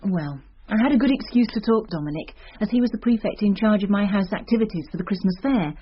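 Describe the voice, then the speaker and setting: A woman's voice: mid-range voice, fast, slightly rough, lightly acted. An actress playing a gossipy, teasing female schoolteacher who confides news in a radio drama. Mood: confiding. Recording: slightly dull radio recording.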